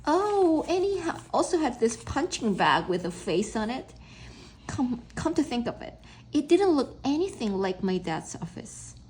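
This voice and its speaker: Husky woman reading a children's story, lively pitch. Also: a little slow, poor audio. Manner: expressive, with stumbles over words. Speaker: read-aloud narrator. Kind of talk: children's storybook read-aloud in a young boy narrator's first person.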